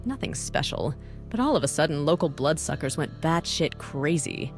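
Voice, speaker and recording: Bossy woman operative voiced by a woman for a game, low register, brisk and dryly condescending, faint music underneath. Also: sing-song. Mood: dry, cynical. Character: bossy, cynical woman running a secret task force. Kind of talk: visual novel voice acting.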